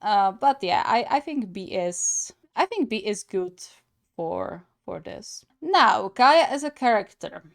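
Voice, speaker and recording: A woman hosts a gaming reaction channel with casual, chatty commentary. She takes her time, speaking slowly at a middle pitch. Her manner is giggly and she often stops to qualify what she says. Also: lively intonation, gravelly.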